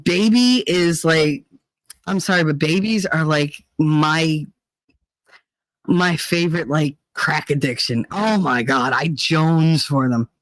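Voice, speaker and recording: Deep-voiced middle-aged woman, dry close mic. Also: slow, dry recording. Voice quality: deep, chesty voice. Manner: chatty and profane, rambling with many fillers. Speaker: livestream host. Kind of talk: casual chat, reading viewer comments.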